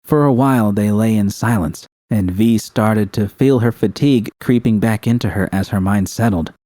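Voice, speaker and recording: A man's voice: lowish voice, normal speed, warm tone, no room sound, clean studio sound. A man reading scripted narration from a story as an audiobook narrator. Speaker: narrator of a fan fiction audiobook.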